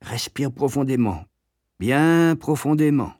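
A calm family doctor voiced by a man in a storybook, speaking decisively. The manner is measured and reassuring, and the sound is dry. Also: medium pitch, no echo, close to an everyday voice. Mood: soothing.

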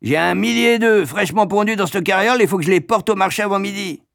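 Male reader as aggrieved country egg seller, colloquial, complaining, pitched high, dry sound. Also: fast, gravelly, fairly bright tone, no room sound. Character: grumbling moustached cart-driving male egg seller.

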